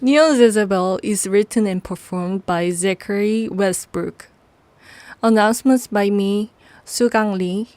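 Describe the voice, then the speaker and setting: Woman announcer, dry mid-pitched voice. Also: unhurried, a little mellow, no room sound, soft background music. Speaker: podcast announcer. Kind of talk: scripted podcast credits announcement.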